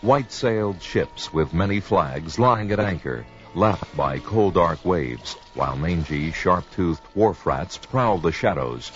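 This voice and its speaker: A man's voice: low voice, highs cut off. A man giving dramatic scripted narration as the storyteller on a vintage adventure record.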